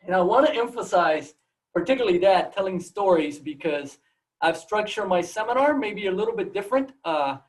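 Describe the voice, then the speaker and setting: A man's voice: sits high, expressive, a little fast, distant mic. A man with a Puerto Rican accent, a native Spanish speaker, giving an informal, storytelling seminar talk on a video call and often repeating words as he goes. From Puerto Rico. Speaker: guest seminar speaker, biology professor.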